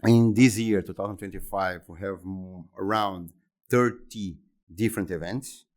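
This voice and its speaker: A man in his fifties from Brazil, speaking English with a Brazilian Portuguese accent as an interview guest, talking haltingly and often repeating words and restarting phrases. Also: deep voice, a little mellow. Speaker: interview guest, exhibition center executive. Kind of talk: conversational interview answers.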